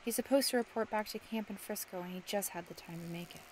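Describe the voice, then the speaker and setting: A woman's voice: mid-range voice, quick pace, natural voice. A woman plays a young war widow working in a factory. She is brusque and slangy, holding grief behind impatience. It is old-time radio drama acting, with faint noise on the recording. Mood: grieving. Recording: noisy amateur radio play recording.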